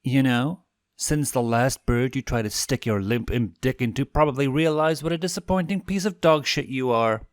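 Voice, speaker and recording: A man's voice: medium pitch, normal speed, no room sound, studio quality, voice pushed up, lightly acted. A man voicing a mocking female succubus bully in a fan story, crude and contemptuous in manner.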